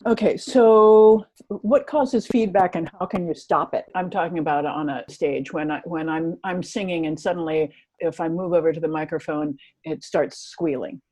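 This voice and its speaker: A woman's voice: fairly low pitch, moderate pace, a little mellow, poor audio. A woman hosting a casual interview, who also sings, asking questions in a playful, self-teasing way. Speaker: host and singer.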